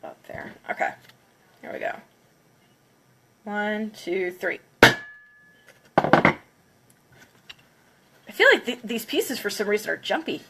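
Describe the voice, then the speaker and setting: A woman's voice: mid-range voice, sing-song, slow pace, long pauses, fairly bright tone, a little hiss or hum. A congested woman chatting casually on a livestream, counting down before smashing plates. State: congested from a cold. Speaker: craft livestreamer.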